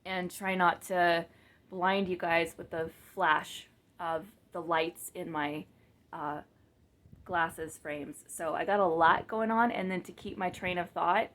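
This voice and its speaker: Woman vlogger, low-ish monotone voice, meandering self-deprecating talk to camera. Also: takes it slowly. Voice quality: monotone, flat delivery. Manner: rambling, many fillers and restarts, self-mocking. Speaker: vlogger and business coach. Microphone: camera mic, speaking to the lens.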